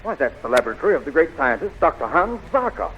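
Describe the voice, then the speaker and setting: An actor playing a brave, earnest young hero in a theatrical 1930s radio serial. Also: male voice, on the high side, very animated, fast talker, crowd noise. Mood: urgent, steady. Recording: muffled, noisy 1930s radio recording.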